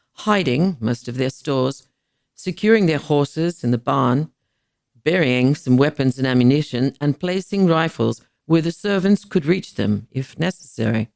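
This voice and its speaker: Creaky-voiced woman narrating history. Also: sits low, darkish tone, dry recording, clean studio sound. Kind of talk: scripted dramatised history narration.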